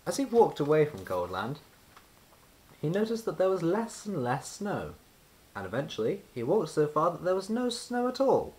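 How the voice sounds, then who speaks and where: A man reading a children's storybook aloud as narrator, with expressive, deliberate storytelling and emphasis on repeated words. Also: a little high, a little hiss or hum, poor audio. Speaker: children's book reader.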